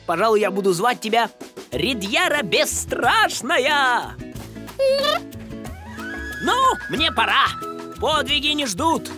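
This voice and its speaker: A woman's voice: on the high side, lively intonation, takes it slowly, pauses often. A woman playing a proud, grandiose pangolin hero in a children's cartoon. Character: proud, theatrical pangolin hero, self-styled magnificent. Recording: music behind the voice.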